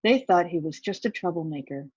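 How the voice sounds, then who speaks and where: A woman's voice: fairly low pitch, animated, brisk, a little mellow, slightly rough, dry recording, dull audio. A woman reading a children's picture book aloud, with asides to the young listeners. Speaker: volunteer story reader.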